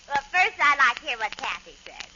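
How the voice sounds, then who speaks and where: A catty, high-pitched club lady. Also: big pitch swings, a little fast, sharp tone, full character voice. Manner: snide, gossipy. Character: catty, needling suburban club woman. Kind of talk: 1940s radio sitcom acting. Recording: very noisy, muffled old radio recording.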